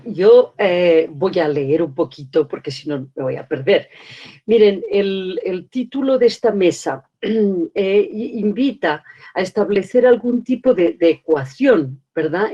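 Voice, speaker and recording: A woman's voice: on the low side, dark tone. Hesitant middle-aged woman on a muffled call. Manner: thoughtful, hesitant, with many restarts and tag questions. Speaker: translation historian speaking on a webinar panel. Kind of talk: academic webinar talk. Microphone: video call.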